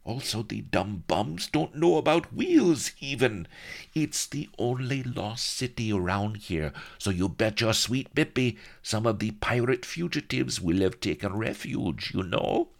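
Oily, over-eager sidekick aide, excited and slangy, a man does the voice in a comic audiobook. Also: on the low side, unhurried, vocal fry, pitched above the performer's own voice. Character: greasy excitable male aide.

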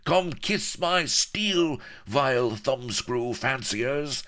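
A man's voice: mid-range voice, animated, extremely slow, sharp tone, voice pushed up, exaggerated voice. A man voicing a ragged, wizened old male castaway who blusters in a quavering yokel way. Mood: blustering. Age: older.

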